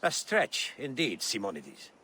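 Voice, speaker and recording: Bright, animated earnest politician. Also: male voice, mid-range voice, measured pace, slightly rough, exaggerated voice. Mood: grateful, dry. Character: earnest, educated, reform-minded Greek male politician. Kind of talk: video game voice acting.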